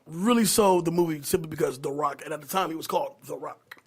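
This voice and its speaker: Male podcast voice, pitched a little high, conversational, stop-start phrasing. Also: fast talker, husky. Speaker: podcast panelist. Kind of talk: casual chat.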